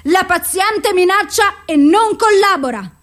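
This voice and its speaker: Radio drama: female clinical reporter, very high voice, clipped theatrical recital of symptoms and doses. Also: lively intonation, a little slow, a bit bright, exaggerated voice. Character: clinical female voice reciting a psychiatric case file.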